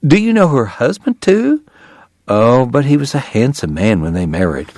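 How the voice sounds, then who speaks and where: A man's voice: medium pitch, big pitch swings, normal speed, a little mellow, dry recording. A man voicing a fussy, motherly Southern woman from Georgia in an audiobook, gushing, bossy and chatty. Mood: thrilled. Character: fussy, motherly, effusive Southern doctor's wife. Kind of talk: audiobook character voice.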